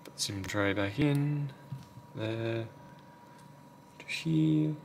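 A man's voice: mid-range voice, drawn-out words. A man giving casual, unscripted commentary as he works at an indoor repair bench, sometimes muttering to himself. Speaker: phone repair technician and video host. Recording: clear background noise.

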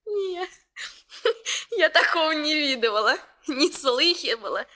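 An actress playing a brash, teasing, excitable young village woman with saucy, exclamatory folk speech in a 1960s radio drama. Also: high voice, takes it slowly, full character voice. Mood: scandalized, gleeful.

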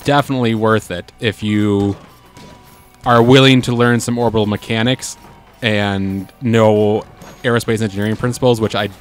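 A man's voice: lowish voice, fairly even intonation, normal speed. A man casually chatting while playing a video game, joking and laughing often. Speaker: let's play host. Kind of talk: casual gameplay chat. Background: game music.

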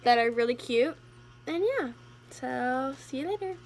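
A woman's voice: high-pitched, expressive, measured pace, slight background noise. A teenage girl chatting casually into her phone at home, sounding congested and sniffly, rambling with lots of fillers. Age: teens. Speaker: vlogger. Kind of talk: casual vlog chat. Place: home rooms and bedroom. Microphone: phone held at arm's length.

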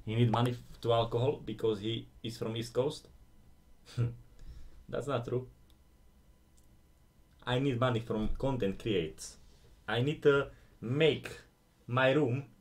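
A man's voice: medium pitch, slow pace, pauses often. A man in his thirties with a Slovak accent, chatting playfully with his stream in broken English while gaming on a headset mic at home. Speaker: game streamer. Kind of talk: casual live-stream chat. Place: indoor home room.

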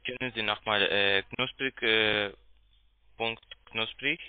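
Male agent, foreign accent, lowish voice, dry telephone sound, broken grammar, hesitant and repetitive delivery. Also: fairly even intonation, clean tone, no echo. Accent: foreign accent in German. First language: not German. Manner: halting, broken grammar, repeats himself. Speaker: call centre agent. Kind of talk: phone conversation. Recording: dry narrow phone line.

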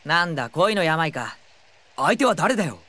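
An actor playing an easygoing teenage schoolboy in an anime audio drama, casual and hesitant. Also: male voice, on the high side, big pitch swings, normal speed, bright, forceful tone. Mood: casual concern. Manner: casual, hesitant, stammering when flustered. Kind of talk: anime radio drama acting.

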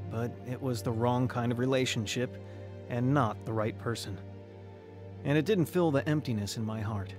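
A man's voice: medium pitch, close to an everyday voice. An actor playing a troubled, searching young man who tells his own story earnestly in a radio drama. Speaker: narrator and lead actor. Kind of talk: dramatized first-person radio testimony. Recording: music behind the voice.

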